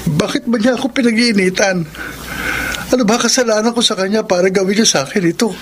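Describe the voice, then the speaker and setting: An actor plays a humble young Filipino writer in a radio soap. The voice is medium in pitch, polite and earnest. Noise is clearly audible in the recording. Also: quick pace, close to an everyday voice. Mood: hurt, bewildered. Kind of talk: Philippine radio drama acting. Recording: clearly noisy background.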